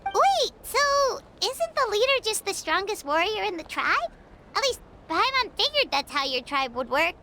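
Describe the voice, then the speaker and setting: A woman voicing a small, childlike, gluttonous fairy companion with a squeaky voice in a video game. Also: sing-song, a little slow, noisy background, heavily acted. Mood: puzzled. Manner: refers to self in third person, exclaiming. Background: music.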